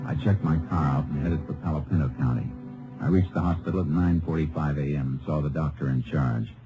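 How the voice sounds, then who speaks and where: An actor playing a steady, laconic Texas Ranger who speaks tersely and narrates his own case in an old radio western. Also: poor audio. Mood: businesslike, calm. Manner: terse, low-key, deliberate. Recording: old radio recording, music underneath.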